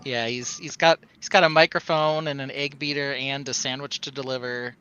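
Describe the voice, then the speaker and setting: A man's voice: medium pitch, steady tone, normal speed, highs cut off, a crowd in the background. A man co-hosting a casual livestream, giving short upbeat comments while describing a toy set on camera. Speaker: podcast co-host.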